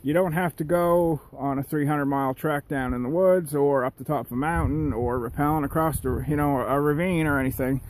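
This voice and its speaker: A man's voice: fairly even intonation, brisk, hardly any pauses. A middle-aged man, talking casually and ramblingly to his viewers in a folksy, conversational way. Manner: folksy, conversational, keeps addressing viewers as guys. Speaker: outdoors vlogger. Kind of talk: casual rambling vlog to camera. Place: outdoors in rural woodland. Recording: noisy outdoor recording with ambient rumble.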